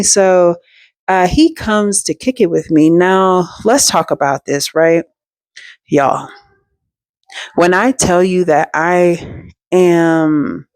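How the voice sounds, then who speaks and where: A woman's voice: lowish voice, no echo. Chatty slangy woman with a dry sound. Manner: chatty, slangy and animated, with many asides. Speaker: storytime host. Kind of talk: casual storytelling to viewers.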